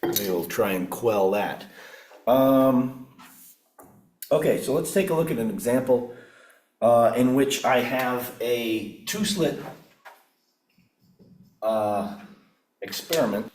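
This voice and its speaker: A middle-aged man giving a physics lecture at a whiteboard, his voice husky and croaky, often clearing his throat, talking unhurriedly with pauses. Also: mid-range voice, animated, darkish tone, slight background noise. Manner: unhurried, pausing with fillers. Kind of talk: classroom lecture. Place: classroom at a whiteboard. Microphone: room camera at a distance. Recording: echoey classroom from far off.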